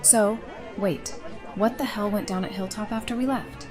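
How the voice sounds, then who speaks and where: A woman's voice: mid-range voice, moderate pace, close to an everyday voice. A woman playing a blunt, feisty survivor. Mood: incredulous. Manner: blunt, incredulous, slangy. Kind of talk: audio drama acting. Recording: music bed behind the voice.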